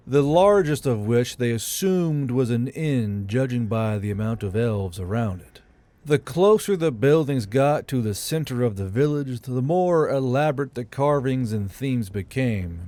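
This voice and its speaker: A man's voice: mid-range voice, mellow. A man reading scripted fiction as an audiobook narrator.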